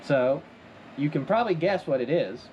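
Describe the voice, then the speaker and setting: Higher-voiced man chatting about a hobby build. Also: expressive, moderate pace, highs cut off, a little hiss or hum. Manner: enthusiastic, chatty, conversational. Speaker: host of a hobby prop-making channel. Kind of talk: casual video narration, explaining a build.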